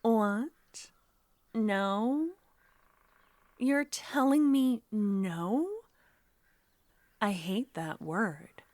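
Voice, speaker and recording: A woman voicing a clingy, menacing yandere witch in an ASMR roleplay, speaking in a soft, coaxing whisper. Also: mid-range voice, extremely slow, long pauses, dry recording. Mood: indignant, frantic.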